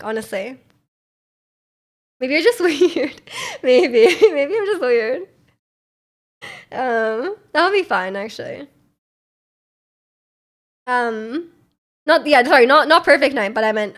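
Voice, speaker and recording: High-voiced chatty young woman streamer. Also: animated, unhurried, long pauses. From Canada. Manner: rambling, giggly, many fillers and restarts. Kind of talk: casual chat.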